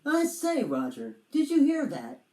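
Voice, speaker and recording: A timid, jolly storybook dragon lad voiced by a woman pipes up in a high voice. He is excitable and old-fashioned in his exclamations, and the room echoes around him. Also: measured pace, heavily acted. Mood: eager. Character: excitable, timid young male dragon with posh British airs.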